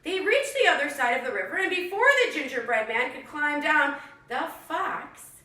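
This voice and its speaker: A woman telling a story to young children in an animated storytelling style. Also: high-pitched, moderate pace. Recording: echoey room, distant microphone.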